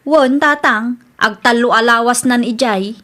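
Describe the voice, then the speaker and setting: A very high-pitched fed-up wife in a radio drama. She speaks bluntly and with exasperation, in an Ilocano accent. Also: a little slow, a bit bright. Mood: frustrated. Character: fed-up young widow complaining about her lazy second husband.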